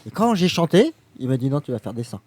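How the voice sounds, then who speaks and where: Lively, animated man bantering on radio. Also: medium pitch, fast. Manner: playful, lively storyteller. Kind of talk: joking studio banter.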